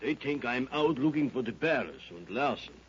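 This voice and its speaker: A man's voice: medium pitch, lively intonation, normal speed, lightly acted. An actor playing a sly, treacherous man on an expedition in a 1930s radio drama, who talks with smug chuckles. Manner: sly, chuckling, feigned innocence. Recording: dull 1930s radio recording, faint hiss.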